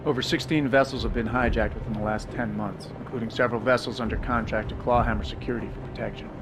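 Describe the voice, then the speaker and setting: Man acting as a brisk, decisive military commander. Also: mid-range voice, fairly even intonation, background noise, natural voice. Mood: serious, probing. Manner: clipped, authoritative orders and questions. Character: decisive military commander leading a special forces team. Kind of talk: video game cutscene acting.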